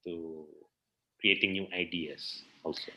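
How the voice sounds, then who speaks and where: Male interview guest, native Indonesian speaker with Indonesian accent. Very unhurried, halting delivery, lower register, casual and searching. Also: steady tone, bright, forceful tone, low-quality recording. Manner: hesitant, searching for words in non-native English. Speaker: theater practitioner interview guest.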